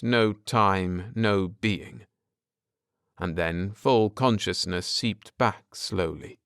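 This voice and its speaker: A man with a fairly low, smooth voice narrates a science fiction story. His reading is very slow and theatrical, weighting phrases for drama. The sound is dry and close. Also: a bit bright, no room sound. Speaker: audiobook narrator.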